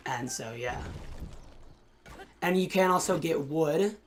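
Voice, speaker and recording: A hoarse young man in college hosting a casual, excitable let's-play commentary with lots of fillers. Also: fairly high pitch, slow pace, soft background music. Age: young adult. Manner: excitable, chatty, many fillers. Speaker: gaming channel host.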